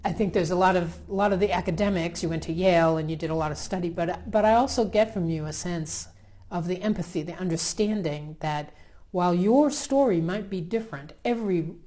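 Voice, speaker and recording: Reflective woman host, very mellow, lowish voice. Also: brisk. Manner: affirming, reflective. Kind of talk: reflective conversational interview.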